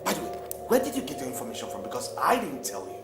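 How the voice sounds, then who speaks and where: A man's voice: medium pitch, huge pitch swings, very fast, gravelly. A man voicing a husband who firmly protests intruders harassing his wife. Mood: protesting. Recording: roomy echo with music.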